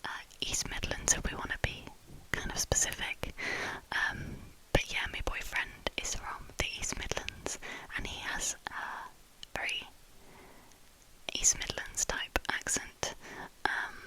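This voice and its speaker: A woman's voice: slow, rough recording. Young woman, southern English accent, ASMR whisper, repeats trigger words, chatty. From southern England. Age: 20s. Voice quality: soft whisper throughout. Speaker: ASMR creator.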